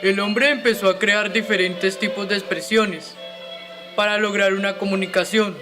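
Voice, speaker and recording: A man's voice: high-pitched, fairly even intonation, normal speed, music in the background, lightly acted. A man playing a courteous inventor who gives polite, scripted explanations in an educational radio drama.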